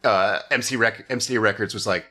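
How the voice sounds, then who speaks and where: A man's voice: on the low side, brisk, pushed and bright. A man hosting a comedy podcast, telling stories casually with wry, profane jokes.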